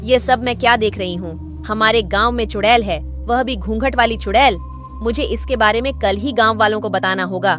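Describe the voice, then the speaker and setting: Young village heroine, female actor, brave but worried, highish earnest voice, cartoon drama over soft music. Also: normal speed, close to an everyday voice. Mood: alarmed. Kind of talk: Hindi cartoon story acting. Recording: faint music behind.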